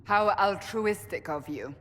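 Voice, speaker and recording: A woman's voice: mid-range voice, lively intonation, unhurried, fairly bright tone, in a large hall. A woman playing a stern, suspicious warrior in a video game, blunt and wary. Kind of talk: video game cutscene acting.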